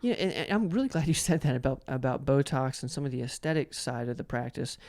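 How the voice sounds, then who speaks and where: A man's voice: mid-range voice, quick pace, a little mellow. A man hosting a casual interview, asking long, rambling questions and often doubling back on his words. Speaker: interview host.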